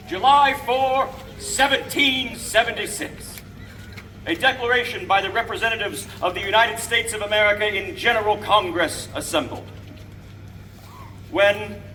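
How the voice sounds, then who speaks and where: Guy acting as a founding father orator, bright sharp voice, grand formal declaiming outside, noisy distant recording. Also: high-pitched. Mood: formal, solemn. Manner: declamatory, deliberate phrasing, formal. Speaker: historical reenactor. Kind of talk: theatrical public proclamation. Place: outdoors, speaking from a balcony to a gathering. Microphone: distant camera microphone. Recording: distant outdoor recording with background noise.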